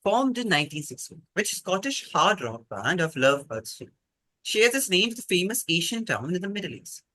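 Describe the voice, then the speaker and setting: A man's voice: high-pitched, very animated, normal speed, bright, forceful tone, no room sound, low-quality recording. A man hosting a quiz, reading out prepared questions to contestants.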